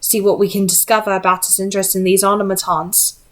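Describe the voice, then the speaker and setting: A woman voicing a polite, thoughtful young male hunter in a storybook, measured and dryly witty. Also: mid-range voice, flat delivery, fairly bright tone, natural voice. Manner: courteous, measured.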